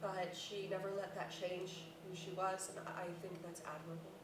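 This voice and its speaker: A woman's voice: fairly low pitch, little pitch movement, a little fast, close to an everyday voice. An actress playing a grieving, defensive middle-aged mother in a staged play reading, blunt and sardonic. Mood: tender, reflective. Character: grieving mother of a grown daughter, prickly and defensive. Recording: echoey noisy room, distant mic.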